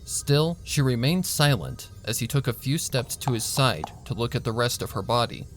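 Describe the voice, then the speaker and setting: Narrator: man, mid-pitched, steady measured reading, faint music bed. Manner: measured storytelling, voicing a flat doctor in quotes. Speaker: narrator and reader. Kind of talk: audiobook narration of fan fiction.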